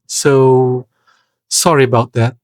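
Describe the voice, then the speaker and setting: Male toy reviewer, mid-pitched, unhurried and careful, stops to hunt for words; dry, close sound. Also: fairly even intonation, no room sound. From Philippines. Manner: hesitant, searching for words, careful. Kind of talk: product review voice-over.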